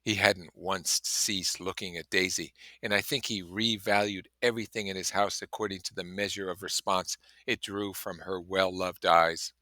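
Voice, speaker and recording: A man's voice: sits low, steady tone, moderate pace, hardly any pauses, sharp tone, dry recording. A man reading a novel aloud expressively, with casual explanatory asides. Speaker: reader and commentator.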